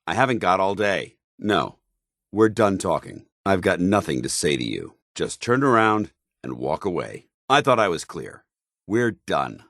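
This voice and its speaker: An actor playing a stern, loyal, idealistic soldier companion in a video game, with a clipped, earnest, authoritative military delivery. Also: male voice, sits low, big pitch swings, a little slow, fairly bright tone, no echo, clean studio sound, exaggerated voice. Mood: stern, disappointed. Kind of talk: video game character dialogue.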